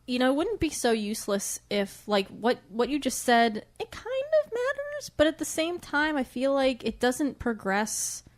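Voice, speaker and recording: A woman's voice: high-pitched, sing-song, moderate pace, hardly any pauses. A woman co-hosting a podcast in a casual, chatty book discussion, giggly and full of hedging asides. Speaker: podcast co-host.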